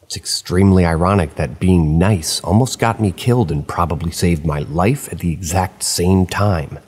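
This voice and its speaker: A man reading first-person stories aloud at a steady pace, slipping in dry asides of his own. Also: low-pitched, moderate pace, breathy edge, big-room sound. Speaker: narrator of online horror stories.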